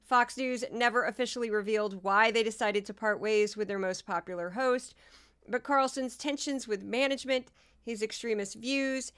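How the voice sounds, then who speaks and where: Woman podcast host, sardonic commentary delivery, voice fading, smooth and higher-pitched. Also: pushed and bright. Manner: sardonic, talks to listeners directly. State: losing her voice.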